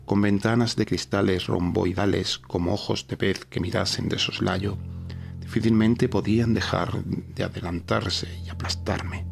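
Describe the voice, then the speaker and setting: A man narrating a gothic horror story as a dramatic audiobook, with a solemn, measured delivery. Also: low voice, fairly even intonation, vocal fry. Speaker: podcast narrator reading a horror short story. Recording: narration over a music bed. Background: background music.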